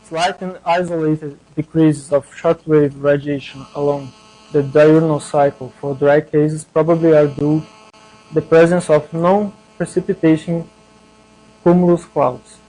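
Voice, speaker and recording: A man giving a scientific presentation in a hesitant, halting way. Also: on the high side, fairly even intonation, mellow, poor audio. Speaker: conference presenter.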